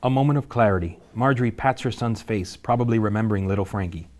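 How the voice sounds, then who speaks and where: Narrator for a table read: a low-voiced man, steady and matter-of-fact. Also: fast. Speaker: narrator reading stage directions.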